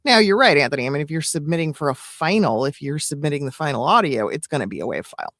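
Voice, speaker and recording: A woman's voice: low-pitched, expressive, fast talker, a bit bright, no echo. A woman co-hosting as a coach in a casual live chat, explaining things step by step in an agreeable way on a close mic. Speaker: auditioning coach and co-host.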